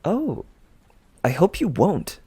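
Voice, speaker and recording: Man voicing a confident teenage girl heroine, pitched up. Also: wildly swooping pitch, slow, warm tone, breathy edge, heavily acted. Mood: sweetly taunting.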